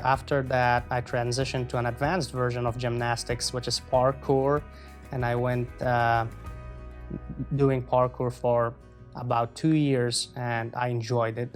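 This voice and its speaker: A man in his twenties from Afghanistan, answering interview questions in an earnest, measured way with frequent pauses. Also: mid-range voice. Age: 20s. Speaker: guest.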